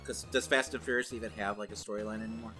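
A man's voice: fairly low pitch, steady tone, rapid-fire, pushed and bright. A man co-hosting a podcast in casual chat, asking questions with wry asides. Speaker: podcast co-host. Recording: music behind the voice.

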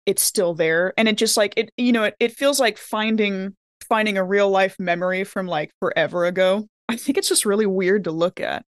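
A woman's voice: medium pitch, normal speed, hardly any pauses, no echo, clean studio sound. A chatty, giggly woman improvising casually as a player in a tabletop roleplaying game.

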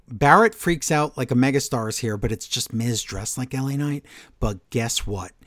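A man co-hosting a wrestling podcast, recapping the week's shows as a lively story. He slips into little voices to act out what the wrestlers said. His voice sits at a middle pitch and sounds dry and close to the mic. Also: no echo. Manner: animated storytelling recap, acting out quotes. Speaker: podcast co-host. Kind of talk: casual wrestling recap chat.

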